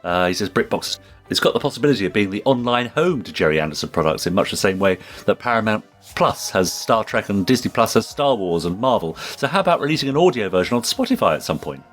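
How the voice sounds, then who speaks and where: British guy co-hosting a podcast, deepish voice that swoops around a lot, faint music behind him. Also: big pitch swings, fast. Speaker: podcast co-host and actor. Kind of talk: chatty podcast, reading out listener messages.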